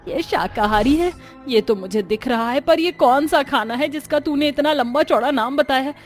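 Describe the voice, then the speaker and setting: A woman's voice: high-pitched, moderate pace, soft background music, lightly acted. A woman voicing a fussy older mother-in-law who scolds and complains in exclamations. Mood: scolding.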